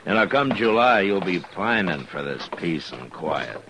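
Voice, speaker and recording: An actor playing a steady, watchful American frontier marshal, terse and wry, in a 1950s radio western. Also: male voice, sits low, a little slow, exaggerated voice. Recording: noisy vintage 1950s radio recording.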